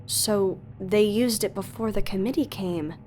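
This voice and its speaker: A woman's voice: mid-range voice, unhurried, dark tone, soft background music, lightly acted. A woman playing a worried, insistent young woman in an audio drama, speaking with urgent, emotionally tense questioning. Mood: tense disbelief. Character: worried, insistent young woman on a tense phone call, narrating her story. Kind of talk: audio drama acting with first-person narration.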